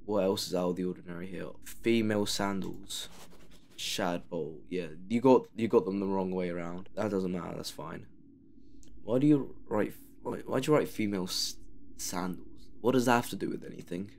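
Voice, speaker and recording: A man's voice: low voice, moderate pace, gravelly, a little mellow. Sarcastic man narrating a game. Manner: sarcastic, mocking the game as he plays. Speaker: gamer playing a horror game. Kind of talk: let's play commentary.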